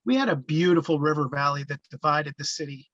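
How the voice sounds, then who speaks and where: Guy telling stories from when he was young, sharp bright voice, dull lofi audio. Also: a little high, fairly quick, dry recording. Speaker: storyteller recalling his youth. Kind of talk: reminiscing narration.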